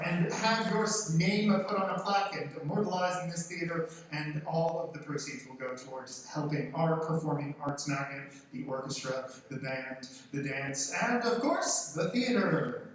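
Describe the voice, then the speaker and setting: A man who is a theater teacher and director, giving an informal, somewhat halting welcome speech to an audience. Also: a little high. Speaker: theater teacher and show director introducing a play. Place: large theater stage before an audience. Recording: very echoey auditorium, far off, faint noise.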